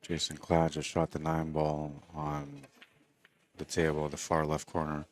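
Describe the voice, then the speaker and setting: A man giving relaxed, conversational live commentary on a pool match, talking quietly and low. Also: measured pace, a little hiss or hum.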